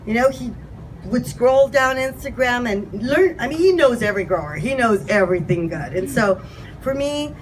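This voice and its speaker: A woman's voice: mid-range voice, slight background noise, low-quality recording. A woman in her fifties speaking candidly and with passion into a handheld mic to a crowd at an outdoor event. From California. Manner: candid, impassioned, self-deprecating. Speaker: community speaker. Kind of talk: informal speech to a crowd. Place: outdoor patio event, crowd standing around.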